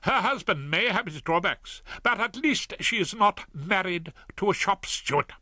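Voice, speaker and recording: A cutting, upper-class judge's wife, as a man puts her on speaks high and bright, her voice swooping with scorn. Her dry, close delivery keeps every barb crisp. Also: animated, normal speed, dry recording, heavily acted. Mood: scornful. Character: haughty sharp-tongued judge's wife, middle-aged.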